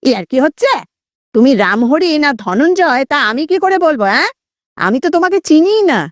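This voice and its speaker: A woman's voice: sits high, big pitch swings, moderate pace, no echo, pitched above the performer's own voice. A woman voicing an irritable, suspicious male village herbal healer with a snappish, questioning manner in a story. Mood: irritated.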